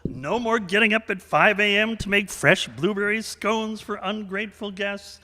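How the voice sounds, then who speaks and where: A man hosting a theatrical radio variety show in an animated, showmanlike way. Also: high voice, normal speed. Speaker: host. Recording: echoey hall, long reverb.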